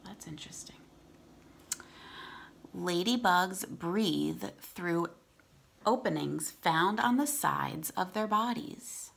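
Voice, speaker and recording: A woman's voice: fairly low pitch, slow pace, creaky, pauses often, fairly bright tone. A woman, reading aloud to children as a teacher and chatting casually between passages. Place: room at home.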